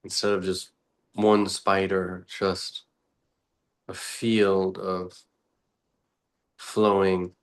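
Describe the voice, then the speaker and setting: A man speaking as a meditation teacher, guiding reflectively and unscripted in short, contemplative phrases with long pauses. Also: deep voice, little pitch movement.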